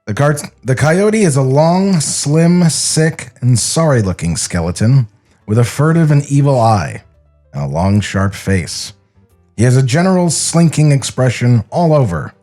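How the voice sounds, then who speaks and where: A man's voice: mid-range voice, animated, measured pace, mellow, faint music behind. A man co-hosting a podcast, giving casual comedic commentary in a sardonic, critical manner. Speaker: podcast co-host.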